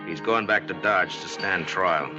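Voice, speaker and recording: An American actor playing a tough, blunt, commanding Old West marshal in a 1950s-style radio western. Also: male voice, low voice, unhurried, lo-fi sound, over music. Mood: challenging. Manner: blunt, challenging, authoritative. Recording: old radio recording with background hiss.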